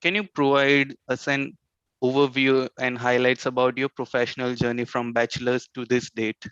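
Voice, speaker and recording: A man's voice: medium pitch, little pitch movement, moderate pace, fairly bright tone, smooth, dry recording. A man hosting a live online interview, speaking conversationally over a slightly dull call connection. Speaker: interview host. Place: remote online video call.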